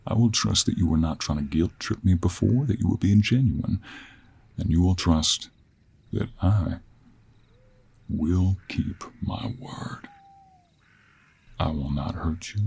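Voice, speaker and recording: A man playing a gruff, gentlemanly cowboy monster hunter in an intimate audio roleplay, with an American Western accent, a gravelly, husky voice and a slow, courteous drawl. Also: low voice, exaggerated voice. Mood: earnest, gentle. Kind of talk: intimate one-sided audio roleplay acting.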